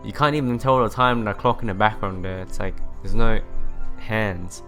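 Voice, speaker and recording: A man's voice: fairly low pitch, moderate pace, a bit bright, soft background music. A man hosting a let's play, reading visual novel narration aloud and sometimes stumbling and correcting himself.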